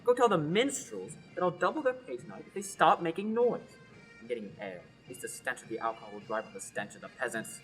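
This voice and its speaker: A haughty young prince sneers and snaps his way through the lines, as a young woman acts him out. The voice sits low, yet it swings wide and lively. Faint music plays behind him. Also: quick pace, low-quality recording. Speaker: student actor. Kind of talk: amateur radio play acting.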